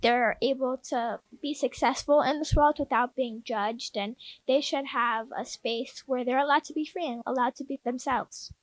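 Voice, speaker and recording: A woman's voice: sits high, normal speed, no echo, poor audio. A woman giving an interview answer.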